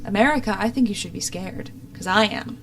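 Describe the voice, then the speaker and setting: A woman's voice: mid-range voice, a little fast, gravelly. Young woman commentator, noticeably noisy recording. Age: young adult. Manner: exasperated, sarcastic. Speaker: talk show host. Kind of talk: opinionated casual political commentary.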